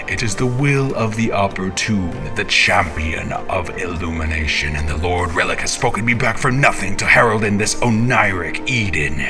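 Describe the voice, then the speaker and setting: A man playing an obsessed, menacing, half-mad champion in a theatrical monologue, grandiose and brooding, with whispery breaths. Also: sits low. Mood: menacing, defiant.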